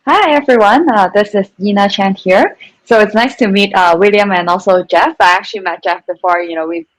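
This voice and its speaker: A woman's voice: mid-range voice, brisk, no room sound, poor audio. A woman from Hong Kong speaking as a panelist in a casual online chat, chatty, with many fillers and restarts. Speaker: panelist, venture capital co-founder.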